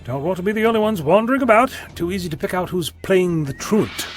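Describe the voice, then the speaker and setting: An eccentric genius time traveller acted by a man in an audio drama. He is breezy, wordy and wry, with a very lively pitch, and faint music plays behind him. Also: fast. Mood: puzzled, wry. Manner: wordy, breezy, gently lecturing.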